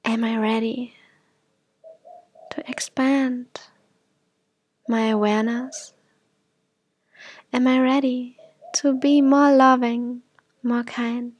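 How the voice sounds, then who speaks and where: A woman guiding an affirmation meditation in soft, gentle, breathy phrases that often drop toward a whisper. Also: a little high, little pitch movement, slow, pauses often, no echo. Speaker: meditation guide.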